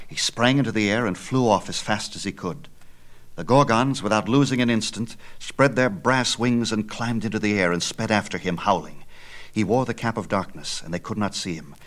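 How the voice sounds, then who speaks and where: A man narrating a myth as scripted storytelling, with theatrical, declamatory delivery in measured phrases. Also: on the low side.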